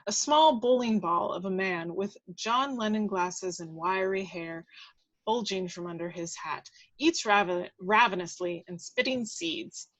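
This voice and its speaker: A woman's voice: mid-range voice, lively intonation, measured pace, fairly bright tone. Woman narrating screenplay action at a table read, mid-range voice, trips on words and fixes them. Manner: stumbles and corrects while reading. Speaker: reader of action descriptions.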